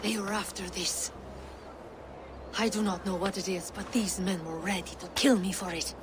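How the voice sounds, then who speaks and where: A wary, resolute woman in a dramatic video game, played by an actress. She sounds rattled and guarded at a middling pitch. Clear outdoor ambience sits behind her. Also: fairly even intonation, normal speed, background noise, close to an everyday voice. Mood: apologetic, guarded.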